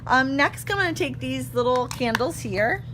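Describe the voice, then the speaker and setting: Hoarse-ish woman, 30s, chatty craft demo at her table, kinda high voice, humming noise behind. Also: lively intonation, moderate pace. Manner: chatty, self-correcting, laughs often. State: slightly hoarse. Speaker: craft tutorial host. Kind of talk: casual live stamping demo. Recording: clear background hum.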